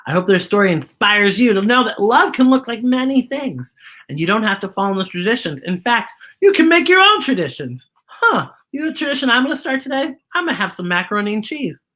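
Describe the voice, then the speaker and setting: Animated, bright-voiced woman hosting children's story time. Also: fairly high pitch, fairly quick. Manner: expressive, exclaiming, asks listeners questions. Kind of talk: animated picture-book storytelling for young children.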